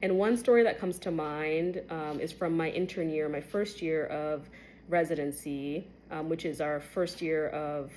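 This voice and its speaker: A woman's voice: unhurried, darkish tone, a little hiss or hum. A woman in her twenties, a medical resident born in Kenya, candidly telling a personal story to camera with many fillers. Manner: candid, conversational, many fillers, rising pitch when recounting shocking moments.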